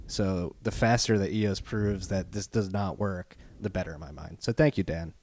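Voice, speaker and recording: A man's voice: low voice, normal speed, a little mellow. A man with a Philadelphia accent co-hosting a podcast, opinionated and blunt in casual chat. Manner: opinionated, rant-prone, blunt. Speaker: podcast co-host.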